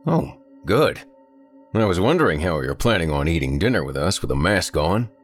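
A man voicing a stubborn, loud middle-aged country father who grumbles and blusters. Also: sits low, very animated, moderate pace, faint music behind. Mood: teasing, grumbling.

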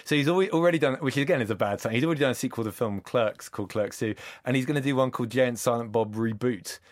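A man's voice: mid-range voice, fast talker, no echo. A man, a podcast guest, talking in a dry, sardonic, scathing way in casual chat.

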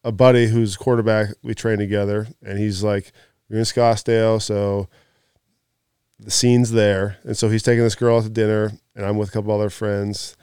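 A man in his thirties, a podcast guest, telling stories in an animated, laughing way during casual chat. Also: fairly low pitch, clean tone, no room sound, studio quality. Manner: animated storyteller, laughs mid-story. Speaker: podcast guest, former athlete.

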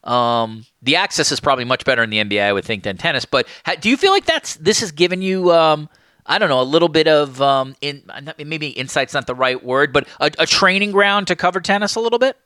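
A man's voice: a little high, sing-song, a little fast, bright, forceful tone, clear, resonant tone, dry recording, studio quality. A man hosting a podcast interview, asking loose questions and thinking aloud with plenty of hedges. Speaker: podcast host. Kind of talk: casual interview chat.

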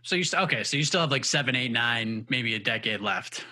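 A man interviewing a fighter, asking long, relaxed questions with fillers. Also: mid-range voice, fairly quick.

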